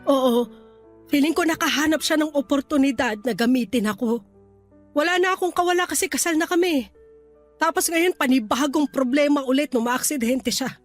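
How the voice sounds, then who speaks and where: High-voiced, weary wife, played by a woman. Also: normal speed, faint music behind, natural voice. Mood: regretful, bitter. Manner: plaintive, venting, hesitant. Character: weary, resentful young wife confiding in her cousin. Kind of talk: Tagalog radio drama dialogue.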